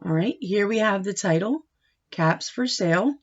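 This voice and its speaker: A woman giving a read-aloud lesson for young children as a teacher, speaking in short deliberate phrases and asking questions as she goes. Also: mid-range voice. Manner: instructive, asking questions and answering them; deliberate short phrases, expressive when reading. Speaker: teacher reading a picture book aloud. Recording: dull, lo-fi sound.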